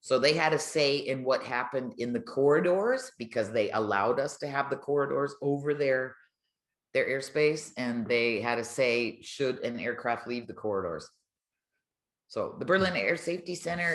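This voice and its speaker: Low-voiced older woman, roomy sound. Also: animated, moderate pace. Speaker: retired air traffic controller, podcast guest. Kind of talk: casual storytelling in an interview.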